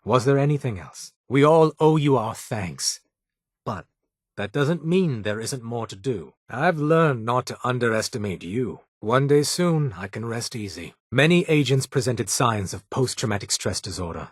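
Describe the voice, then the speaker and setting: A man's voice: medium pitch, animated, normal speed, breathy edge, no room sound. A man playing a brusque, haughty underground doctor in a video game, with a British accent and a dry, sardonic, formal manner. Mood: grave. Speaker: medic in a secret resistance group. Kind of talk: video game character voice acting.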